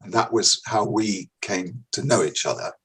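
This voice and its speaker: Older man, husky voice, hesitant rambling delivery, casual interview chat. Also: fairly low pitch, normal speed, fairly bright tone, poor audio. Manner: rambling, hesitant, repeats himself. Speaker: interview guest, actor and director. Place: bedroom at home, on a video call.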